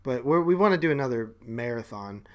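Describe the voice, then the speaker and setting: A man's voice: mid-range voice, lively intonation, brisk, fairly bright tone. A man co-hosting a podcast, chatting in a casual, conversational way. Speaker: podcast co-host. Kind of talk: casual banter.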